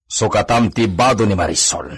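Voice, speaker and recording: A man's voice: on the low side, normal speed, breathy edge. An actor playing a bossy, imperious hacienda master in a radio drama, commanding and peppering his speech with Spanish words. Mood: stern, commanding. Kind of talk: Ilocano radio drama acting.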